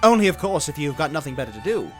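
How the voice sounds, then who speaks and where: Man voicing a chatty, animated gentleman dragon. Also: a little high, racing, faint music behind. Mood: eager, friendly. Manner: rambling, genteel, eager old-fashioned talk. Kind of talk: dramatized storybook character acting.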